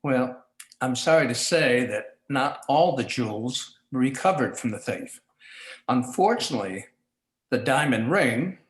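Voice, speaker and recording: A man's voice: medium pitch, close to an everyday voice. A man playing a crooked police chief in a noir radio play, with a clipped, businesslike manner. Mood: formal. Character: crooked police chief, curt and smooth-talking schemer. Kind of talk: 1940s noir radio acting, live community theatre. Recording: roomy, echoing live stage recording.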